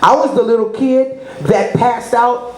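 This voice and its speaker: A woman's voice: fairly high pitch, steady tone, unhurried, darkish tone. A middle-aged woman giving promotional interview talk as a radio personality, emphatic and boastful, often checking that the listener understands. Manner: emphatic, boastful, frequent rhetorical check-ins. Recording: roomy echo with clear background noise.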